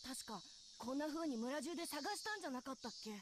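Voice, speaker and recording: Woman as a high-voiced young gossiping schoolkid. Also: rapid-fire, noisy background, close to an everyday voice. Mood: gossipy, hushed. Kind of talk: Japanese visual novel voice acting.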